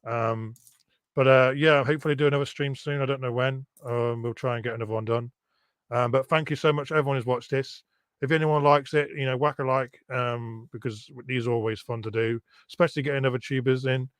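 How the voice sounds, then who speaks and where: Easygoing streamer, dry sound. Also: male voice, mid-range voice, fairly even intonation, brisk, no echo. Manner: easygoing, hesitant with ums. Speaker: YouTube streamer host. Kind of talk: casual live stream chat. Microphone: laptop.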